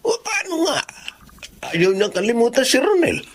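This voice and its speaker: A man's voice: very high voice, wildly swooping pitch, normal speed, noisy background, heavily acted. An actor playing a fretful, pushy middle-aged father in a radio drama, grumbling and insistent, with a Filipino accent. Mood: exasperated, insistent. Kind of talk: Tagalog radio drama acting.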